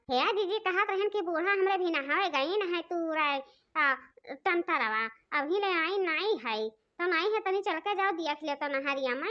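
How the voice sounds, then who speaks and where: A performer voicing dramatic, squabbling village women in a comedy cartoon, with a thin childlike voice, an Awadhi accent and wailing, scolding delivery. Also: very high voice. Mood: pleading. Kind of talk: comedy cartoon dialogue in rural dialect. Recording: dull, muffled lo-fi audio.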